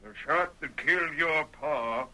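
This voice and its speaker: Gruff old Western rancher, sharp-edged. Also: male voice, fairly high pitch, unhurried, rough recording. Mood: gruff, insistent. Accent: American. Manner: folksy, curt frontier drawl. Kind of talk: old-time radio Western acting. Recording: vintage radio drama recording.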